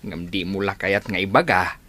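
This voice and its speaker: A man's voice: sits low, normal speed, sharp tone. A man playing a caring, watchful father who questions his grown children in an audio drama. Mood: concerned. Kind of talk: Ilocano audio drama acting.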